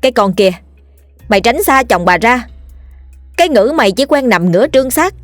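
A woman doing a shrill furious village wife. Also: lively intonation, very slow, sharp tone, dry recording, faint music behind, exaggerated voice. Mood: screaming rage. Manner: shrill screaming rage. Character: furious jealous village chief's wife, middle-aged woman.

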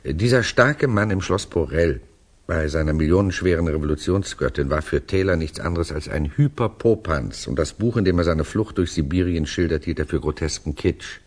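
An actor playing an urbane writer who recounts a tale to a friend in a measured, reflective way, in a 1960s German radio drama. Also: male voice, deep voice, a little mellow.

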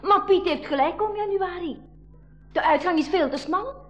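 A woman's voice: very high voice, moderate pace. A woman playing a spirited, forthright young girl in a 1980s school radio adventure. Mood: alarmed. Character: plucky young girl, sharp-tongued big sister.

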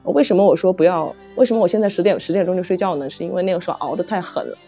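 A middle-aged woman author chatting casually about writing, halting and repeating phrases as she searches for words. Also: lowish voice, dark tone. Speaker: novel author. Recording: dull lo-fi audio, music underneath. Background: background music.

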